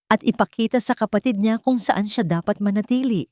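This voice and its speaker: A woman's voice: mid-range voice, a little fast, mellow, dry recording, pitched above the performer's own voice, close to an everyday voice. A woman voicing a snide, shallow young rich woman in a story, catty and teasing. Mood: scheming.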